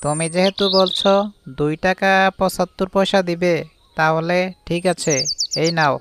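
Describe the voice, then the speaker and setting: A woman's voice: lowish voice, fairly even intonation, normal speed, noisy background, lightly acted. A woman voicing an older uncle who sells young fish at market in a children's cartoon, plain and bargaining in manner. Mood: haggling. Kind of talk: children's cartoon moral story dialogue. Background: music and birdsong.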